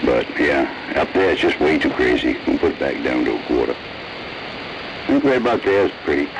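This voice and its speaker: Really low bass guy on cb radio, plain halting talk, clear noise in the background. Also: very animated. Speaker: amateur CB radio operator. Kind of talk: casual radio chat. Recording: noisy CB radio transmission, heater running. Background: background noise from heater.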